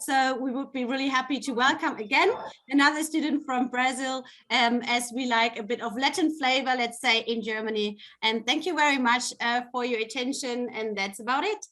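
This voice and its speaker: A woman's voice: high-pitched, steady tone, brisk, pushed and bright. A woman from a university international office giving a chatty presentation over a video call, with many small fillers. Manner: chatty, laughs easily, fillers. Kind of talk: presentation at a virtual fair.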